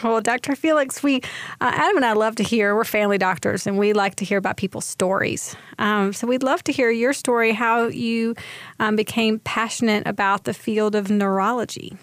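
A woman co-hosting a radio health show, a smooth and friendly presenter. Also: a little high, normal speed, no room sound. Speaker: radio host, family doctor.

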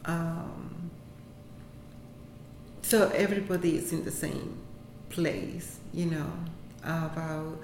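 Low-voiced Puerto Rican woman, halting speech. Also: steady tone, a little mellow. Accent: Puerto Rican Spanish. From Puerto Rico. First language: Spanish. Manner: halting, many fillers and restarts, laughs often. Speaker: interviewee, health center employee. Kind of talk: casual interview. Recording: echoey room, faint background noise.

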